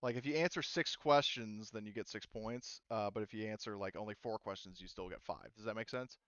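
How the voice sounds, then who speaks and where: Smooth low voiced guy game mastering, halting easygoing explanations, dry slightly muffled audio. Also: a bit bright, dry recording. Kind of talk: casual explaining to players at a tabletop game session.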